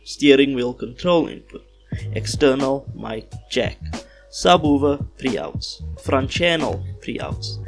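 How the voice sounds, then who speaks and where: A man reading a scripted product unboxing voiceover in a measured, instructional way, recorded on a small external car-radio microphone. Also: mid-range voice, lively intonation, vocal fry, faint music behind. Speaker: host of a car audio channel. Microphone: small external car-radio microphone, cleaned-up voiceover.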